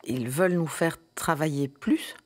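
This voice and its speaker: A woman playing a meek, bewildered office employee in a radio satire, speaking timidly and haltingly. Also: sits low, dry recording, clean studio sound. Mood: baffled. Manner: hesitant, stammering, timid. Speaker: voice actor in a radio drama. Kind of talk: satirical radio comedy dialogue, phone-call scene.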